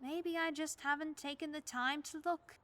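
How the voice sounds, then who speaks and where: A woman playing an elderly widowed neighbor in her seventies in a radio play, speaking gently and plainly with a wry edge. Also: high-pitched, fairly even intonation, moderate pace. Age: 70s. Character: kindly widowed old woman neighbor, churchgoing.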